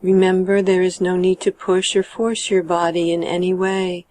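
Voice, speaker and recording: A woman guiding a meditation in a calm, measured and gentle manner, letting her sentence endings trail off. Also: on the low side, slight background noise. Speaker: meditation guide. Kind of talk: guided meditation narration.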